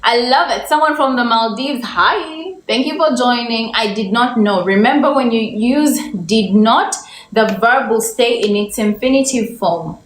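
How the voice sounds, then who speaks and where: A young woman from Uganda teaching English on a livestream. She stretches out her example sentences and keeps asking viewers for the correct answer. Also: fairly high pitch. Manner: slow, drawn-out example sentences, repeated questions to viewers. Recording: echoey room, faint background noise.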